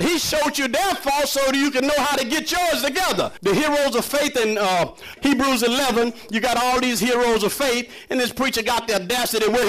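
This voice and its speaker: Male preacher, very high-pitched, emphatic and conversational sermon delivery with challenging rhetorical questions. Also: a bit bright, low-quality recording. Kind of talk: impassioned sermon to a congregation.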